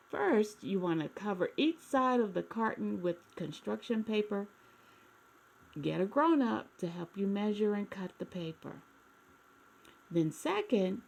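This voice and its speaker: Slow, creaky-voiced woman reading to children. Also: mid-range voice. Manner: expressive, addresses children directly with questions. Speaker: children's story reader. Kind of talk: read-aloud storytime for young children.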